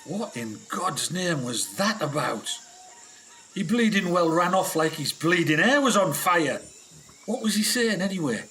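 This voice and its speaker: An actor playing a grumbling, hot-tempered British scientist in a radio adventure, salty and sardonic. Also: male voice, sits high, big pitch swings, measured pace, pushed and bright, a little hiss or hum, exaggerated voice. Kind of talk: 1920s radio adventure acting.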